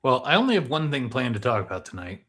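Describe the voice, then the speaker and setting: A man's voice: medium pitch, big pitch swings, quick pace, a bit bright. A man with a mid-range voice hosts a live podcast. He speaks informally, as in an everyday conversation with his co-host. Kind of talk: casual live podcast chat.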